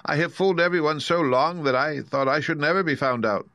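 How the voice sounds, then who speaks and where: Man putting on a trembling, high-pitched little old humbug wizard. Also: a little fast, a bit bright, no room sound. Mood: sheepish, fretful. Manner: meek, pleading, apologetic.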